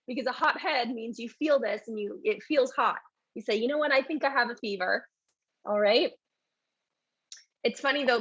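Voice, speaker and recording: A woman's voice: fairly high pitch, a bit bright, breathy edge, dry recording, dull audio. A woman teaching a live online English lesson in a chatty way, reading out and answering questions from the chat.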